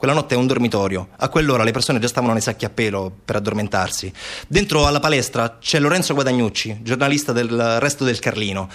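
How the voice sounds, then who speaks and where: A man's voice: medium pitch, quick pace, fairly bright tone. A man delivers urgent, insistent theatrical narration in the manner of documentary storytelling. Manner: urgent, insistent, repetitive staccato phrasing. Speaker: stage narrator, actor.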